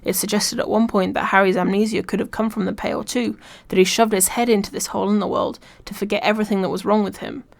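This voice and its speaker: A woman's voice: medium pitch, level tone, fast, gravelly. A woman reading scripted video essay narration in a wry, informal way.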